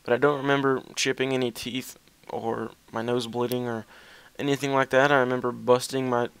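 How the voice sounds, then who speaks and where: A man's voice: medium pitch, level tone, brisk, vocal fry, a bit bright, smooth, no room sound, low-quality recording. A young man telling a casual, rambling story as gaming commentary, with a hesitant, self-deprecating way of talking.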